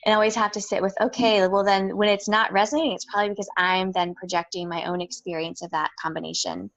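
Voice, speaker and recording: Woman guest, mid-pitched, reflective and chatty, explains ideas with lots of fillers. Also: little pitch movement, fast talker, gravelly, fairly bright tone, lo-fi sound. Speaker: astrologer guest. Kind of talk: casual conversational interview.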